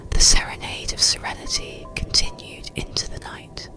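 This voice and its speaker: A narrator reads a soothing ASMR bedtime story in a hushed, breathy, unvoiced whisper with a gentle manner. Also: moderate pace, over music, poor audio.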